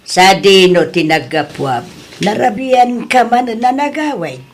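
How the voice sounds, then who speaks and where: An actress with an Ilocano Filipino accent playing a reproachful middle-aged mother in an audio drama, chiding with drawn-out words. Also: medium pitch, lively intonation, slight background noise. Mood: questioning.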